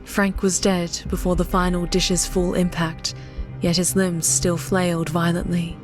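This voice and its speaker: A woman's voice: on the low side, little pitch movement, measured pace, over music. A woman giving a scripted, dramatic narration of a story as an audiobook narrator.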